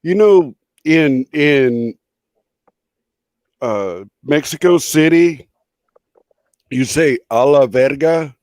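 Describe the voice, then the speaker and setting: Guest chatter, male, unhurried delivery, slightly high voice, dry close sound. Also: dry recording. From Southern California. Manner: rambling, relaxed storyteller. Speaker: guest in a stream chat. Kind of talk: casual storytelling chat.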